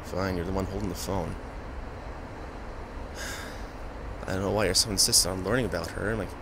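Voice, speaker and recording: A young man performing a first-person audio roleplay, confessing in a halting, reluctant way with frequent sighs, tearful as he talks. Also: low-pitched, fairly even intonation, background noise.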